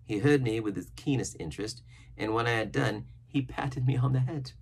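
A man's voice: medium pitch, normal speed, creaky, slightly rough. A middle-aged man reading an adventure novel aloud with expression. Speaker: storybook reader.

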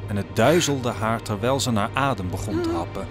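A man's voice: fairly low pitch, fairly quick. A man narrating an audio drama as a scripted, dramatic story. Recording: music under the narration.

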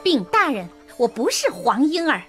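Young maiden, extremely high voice, lively intonation, theatrical, cheeky, outspoken, radio comedy. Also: female voice, normal speed, faint music behind. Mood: pleading. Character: melodramatic, cheeky young maiden pleading her case. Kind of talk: comedic radio drama acting.

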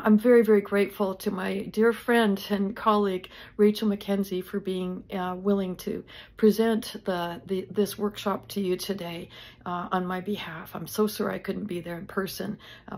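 An older woman giving a recorded introductory address as a nonprofit founder, speaking in an earnest, measured, halting manner. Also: medium pitch, creaky, darkish tone.

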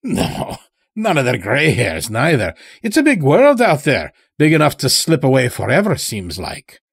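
A man's voice: medium pitch, wildly swooping pitch, unhurried, vocal fry, breathy edge, no room sound, studio quality, voice pushed up, exaggerated voice. A man voicing a weary, sly old blinded werewolf elder with a folksy, rustic drawl in an audio horror story. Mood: weary, coaxing.

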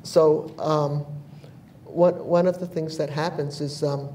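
An older woman from New Mexico on a panel, telling personal memories in a measured, thoughtful way with frequent pauses. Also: sits low, steady tone, mellow. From United States (New Mexico). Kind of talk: reflective personal storytelling. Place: bright large indoor room, seated in armchair. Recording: echoey room, distant mic, faint hiss.

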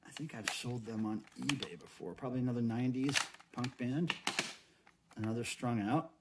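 A man's voice: mid-range voice, normal speed. American man chatting over crinkly handling noise. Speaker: host of a cassette unboxing video. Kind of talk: casual unboxing commentary. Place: indoors at a tabletop. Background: plastic wrap crinkling, cases clicking.

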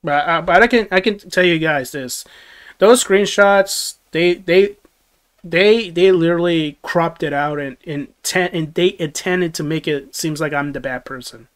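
A man's voice: a little high, measured pace, a bit bright. Rambling young man on a livestream. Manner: rambling, many restarts and chuckles. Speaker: livestream host. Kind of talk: casual livestream chat reading viewer comments. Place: small dim bedroom studio. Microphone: gaming headset.

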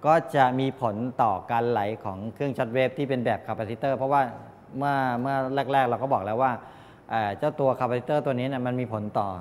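A man's voice: medium pitch, darkish tone. Middle-aged male lecturer in an echoing room. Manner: halting, explanatory, with frequent polite tag particles. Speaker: college lecturer in medical electronics. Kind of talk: classroom lecture. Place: medium-sized indoor classroom with wooden desks. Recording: echoey classroom, distant pickup, background noise.

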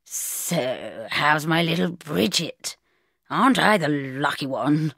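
A woman's voice: deep voice, lively intonation, slow, gravelly, fairly bright tone, no room sound, clean studio sound, heavily acted. A woman voicing a leering, over-familiar middle-aged uncle, drawling and patronising, in a story. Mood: leering, teasing.

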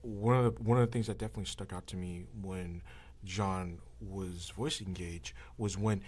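A man hosting a podcast in casual chat, with a halting, meandering way of talking. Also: sits low, steady tone, a little mellow, slightly rough.

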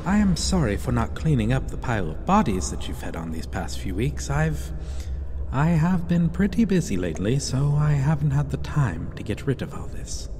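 A man voicing a possessive, centuries-old male vampire who keeps a captive, talking softly and controllingly, sometimes in whispers, in an ASMR roleplay. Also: medium pitch, big pitch swings, moderate pace, in a large hall, background noise, lightly acted. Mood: doting. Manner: soft, doting and controlling, drops into whispers.

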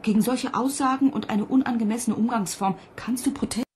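A woman playing a composed, measured psychologist at ground control in a radio drama. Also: on the high side, darkish tone, a little hiss or hum, close to an everyday voice. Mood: calm, advising. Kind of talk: German radio drama acting.

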